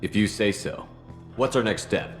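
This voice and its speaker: An actor playing a no-nonsense, decisive space commander in a video game, speaking bluntly. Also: male voice, on the low side, normal speed, long reverb tail, faint music behind, lightly acted. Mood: suspicious.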